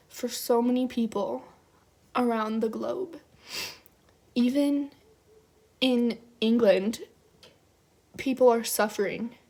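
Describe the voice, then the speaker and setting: A woman's voice: fairly high pitch, a little mellow. A young woman delivering a mock-earnest, tearful-sounding apology video as a youtuber.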